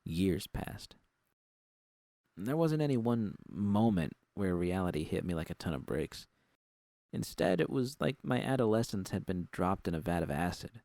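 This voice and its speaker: A man's voice: low voice, sing-song, moderate pace, vocal fry, dark tone, dry recording. A man reading a first-person story as an audiobook narrator, in a scripted literary style.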